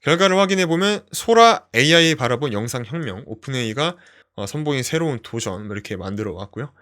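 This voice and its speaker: A man's voice: medium pitch, fast. A young man hosting a screen-share tech tutorial, explaining step by step with frequent fillers. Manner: explanatory, lively, many fillers "어" and "뭐".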